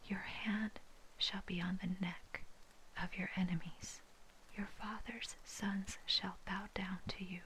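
A woman reading scripture in a soft, breathy, unvoiced whisper, ASMR style, with measured phrasing. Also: mid-range voice, level tone, a little hiss or hum, poor audio. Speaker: scripture reader.

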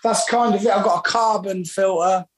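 A man, a musician chatting casually in an interview in a relaxed, rambling, self-deprecating way. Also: high voice, normal speed, crowd noise. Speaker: musician guest.

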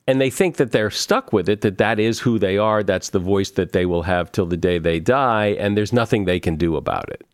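A man's voice: lowish voice, no room sound, clean studio sound. A man hosting a podcast interview, casually chatting and often restarting his sentences. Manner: casual, repeats words as he starts sentences. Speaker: podcast host. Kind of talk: interview conversation.

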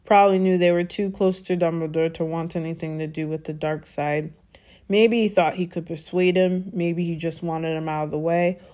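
Storybook giant man, played by a woman: gruff, good-hearted, anxious, folksy dropped consonants. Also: lowish voice, steady tone, moderate pace, warm tone, lightly acted. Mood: grave, uneasy. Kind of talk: storybook character voice.

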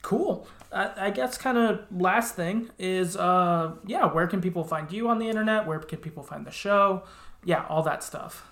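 Creaky-voiced man hosting in an echoey room. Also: high voice, normal speed, a bit bright. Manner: agreeable, easygoing, affirming. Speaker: podcast host. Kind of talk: casual chat. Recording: roomy, echoey sound.

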